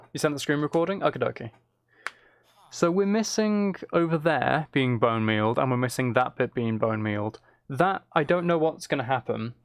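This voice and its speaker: A man's voice: medium pitch, creaky. A man giving casual gameplay commentary, thinking aloud in short, muttered phrases as he plays. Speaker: streamer playing a video game.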